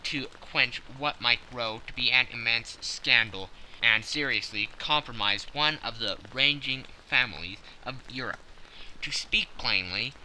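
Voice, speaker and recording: A man voicing a huge, haughty, secretive nobleman in an audiobook, with a deep, harsh voice, a German accent and a formal, imperious way of talking. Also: sharp tone, slight background noise, rough recording. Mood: guarded, formal.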